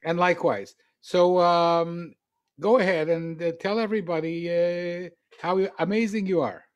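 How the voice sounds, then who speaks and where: A man's voice: high-pitched, steady tone, measured pace, smooth. Playful male talk show host. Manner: playful, jokey, pushes the guest. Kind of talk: interview hosting.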